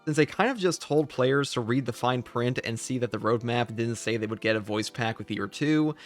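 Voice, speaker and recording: A man's voice: mid-range voice, moderate pace, soft background music. A man delivering scripted gaming news commentary as a video creator.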